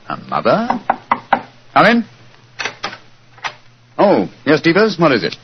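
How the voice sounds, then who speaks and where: An actor playing a keen, dryly witty retired master detective in a theatrical 1940s radio drama style. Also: male voice, a little high, wildly swooping pitch, takes it slowly, noisy background, exaggerated voice. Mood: brisk. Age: older. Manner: crisp, wry, theatrical. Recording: dull, husky-sounding old radio recording.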